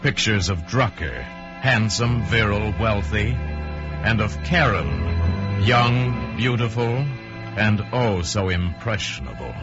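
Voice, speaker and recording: A man narrating a suspense tale in a deliberate, theatrical old-time radio drama style. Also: on the low side, lively intonation. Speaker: storyteller narrator. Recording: muffled old radio recording with music. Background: dramatic music.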